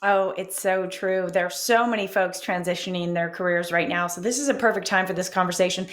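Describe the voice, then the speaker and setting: A woman co-hosting a TV talk show, bubbly and friendly, full of warm affirmations. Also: lowish voice, brisk.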